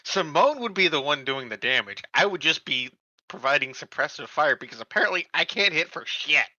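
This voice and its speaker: A man's voice: a little high, sing-song, brisk, bright, forceful tone, no echo, dull audio. A man chatting casually and jokingly as a player in a tabletop game.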